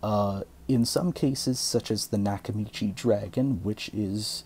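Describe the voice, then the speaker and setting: Low, mellow man explaining casually. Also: unhurried, slight background noise. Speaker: tutorial host. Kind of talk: casual how-to tutorial narration.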